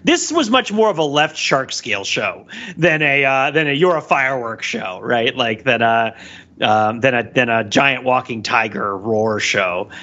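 A man's voice: mid-range voice, lively intonation, unhurried, sharp tone. A man co-hosting a podcast with casual, humorous commentary, recorded in slightly dull, muffled audio. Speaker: podcast host.

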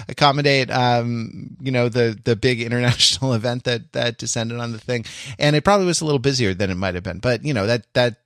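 A man hosts a casual podcast in a medium-pitched voice. He talks in a halting, roundabout way, often repeating a phrase before moving on. The sound is close and dry. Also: creaky, fairly bright tone, no room sound. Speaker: podcast host. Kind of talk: casual chat.

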